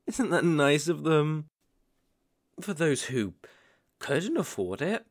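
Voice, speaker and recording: A man playing a possessive, lovesick boyfriend in an ASMR roleplay, cooing with gentle condescension and quiet menace, often hushed and breathy. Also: fairly high pitch, no room sound, studio quality. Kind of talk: ASMR roleplay, dramatic monologue.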